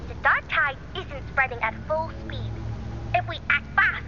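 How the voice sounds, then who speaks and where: Playful young female diviner, video game, high-pitched, quick-witted, slightly muffled with music. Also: expressive. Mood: animated, urgent.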